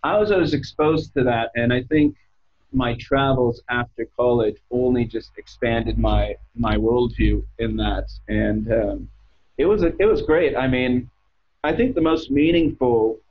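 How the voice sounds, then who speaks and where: Husky American man, hesitant and casual. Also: mid-range voice, lo-fi sound. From United States. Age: 30s. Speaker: interview guest. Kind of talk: casual conversational storytelling.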